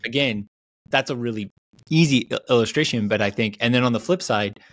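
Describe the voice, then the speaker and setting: Animated man talking fast with restarts. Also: mid-range voice, no echo. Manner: animated, many restarts and fillers. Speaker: guest author. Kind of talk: casual podcast conversation. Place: small home room on video call. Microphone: video call mic.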